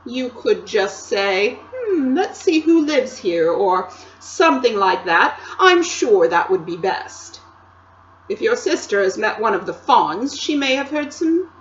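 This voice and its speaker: A woman reading aloud, voicing a cold, sly queen who coaxes a boy in a sweet, drawn-out voice. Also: mid-range voice, a bit bright, echoey room, dull audio, a little hiss or hum.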